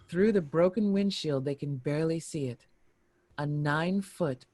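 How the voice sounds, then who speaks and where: A narrator reading screenplay action and scene headings in a deliberate, expressive storytelling manner. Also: medium pitch, dry recording. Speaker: narrator reading stage directions.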